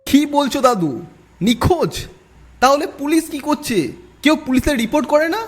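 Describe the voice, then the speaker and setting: A woman voicing a sceptical young city man, bold and dismissive, with a husky voice, in a cartoon ghost story. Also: high-pitched, big pitch swings, normal speed. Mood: questioning.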